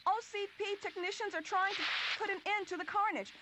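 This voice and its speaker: Frantic TV field reporter. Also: female voice, very high-pitched, heavy background noise. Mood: panicked. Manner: frantic, rushed. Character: panicked female TV field reporter.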